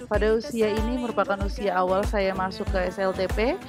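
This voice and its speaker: Woman in her 30s reading out her assignment, steady and formal, loud music behind. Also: medium pitch, a little fast. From Indonesia. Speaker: teacher-training candidate. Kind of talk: reading a prepared assignment presentation.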